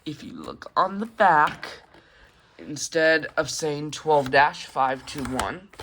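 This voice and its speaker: Slow, halting man reviewing his collection. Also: on the high side, expressive, a bit bright, slight background noise. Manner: hesitant, halting, unscripted rambling. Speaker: hobbyist video host. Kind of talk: casual show-and-tell review. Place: carpeted room at home.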